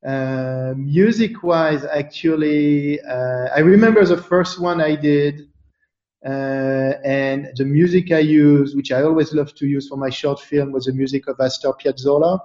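A man from France, speaking as an interview guest in a thoughtful, halting way with many fillers and restarts. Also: a little high, darkish tone, reverberant, dull audio. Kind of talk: casual interview chat.

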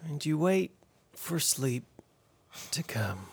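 Man, soothing soft voice, calm manner, podcast co-host. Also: on the high side, slow pace, breathy edge, no room sound. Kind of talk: casual podcast chat.

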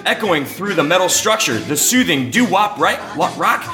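A man's voice: high voice, sing-song, normal speed. A man narrating dramatically in the style of old-time radio serials. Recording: roomy live stage sound with music.

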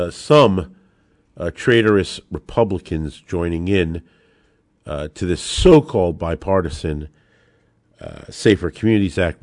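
A man's voice: on the low side, no echo, studio quality. A man hosting a podcast of opinionated political commentary, speaking in an emphatic, sarcastic way and repeating words for emphasis. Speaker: podcast host.

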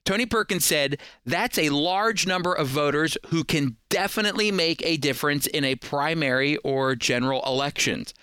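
Bright, clear-voiced American man hosting. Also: on the high side, moderate pace, few pauses, dry recording. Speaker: radio program host and Bible teacher. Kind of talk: conversational radio teaching and commentary. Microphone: close studio microphone.

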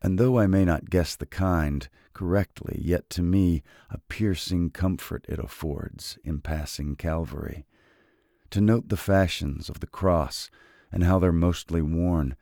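A man hosting a literature podcast who reads classic poetry slowly and softly in a relaxed, unhurried way. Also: dry recording, studio quality. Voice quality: very deep, gravelly voice. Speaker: podcast host and reader. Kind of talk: slow, measured poetry reading.

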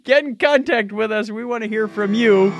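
A man's voice: high-pitched, normal speed, faint music behind. A middle-aged man hosting a podcast in casual chat, upbeat as he wraps up segments. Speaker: podcast host.

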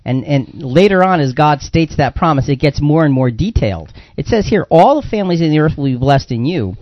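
A man's voice: fairly high pitch, lively intonation, brisk, no echo. A man hosting a conversational Bible discussion program, explaining points and repeating phrases for emphasis. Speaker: radio program host. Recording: dull, lo-fi broadcast audio.

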